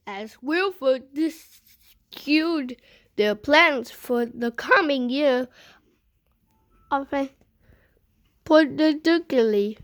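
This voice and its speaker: A woman reading a children's picture book aloud in a deliberate way, pausing between short phrases. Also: sits high. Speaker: storybook reader.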